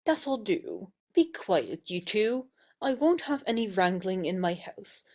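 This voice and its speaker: Woman playing an imperious, swooping, mellow young mistress. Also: mid-range voice, very animated, takes it slowly. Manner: bossy, emphatic. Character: imperious, warm-hearted young lady of the house.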